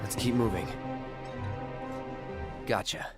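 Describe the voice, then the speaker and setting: A man's voice: on the low side, takes it slowly, loud music behind the voice. A man voicing an aloof, guarded young fighter in a video game, curt and understated. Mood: terse, guarded.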